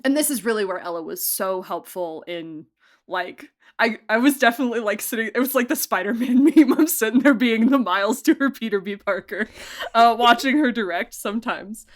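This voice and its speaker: A woman giving a casual interview as an audio drama director, talking in an animated, chatty way that wanders into asides. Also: on the high side, brisk. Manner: animated, chatty, digressive storytelling.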